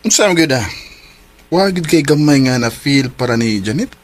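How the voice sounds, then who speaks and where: An actor with a Cebuano Filipino accent playing a frank, questioning young best friend in a radio drama. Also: male voice, medium pitch, lively intonation, normal speed, natural voice. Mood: probing. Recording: loud music behind voice.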